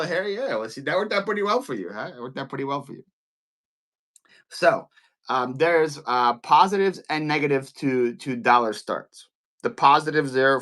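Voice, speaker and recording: A man's voice: mid-range voice, sharp tone. A man with a Ukrainian Philadelphia accent hosting a casual live-selling stream, chatty and often repeating and restarting his words. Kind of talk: casual livestream teaching.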